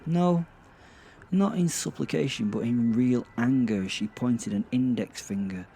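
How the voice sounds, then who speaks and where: A man playing a sardonic, awkward werewolf who narrates in a halting, self-mocking way with theatrical emphasis, in a horror-comedy audio drama. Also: medium pitch, dark tone. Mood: awed. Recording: clear background ambient noise.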